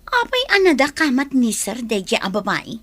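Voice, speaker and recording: A woman with an Ilocano Filipino accent playing a jealous, prying young woman in a comedy radio drama, suspicious and sulky, with a husky voice. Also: fairly high pitch, huge pitch swings, moderate pace, fairly bright tone, dry recording, heavily acted.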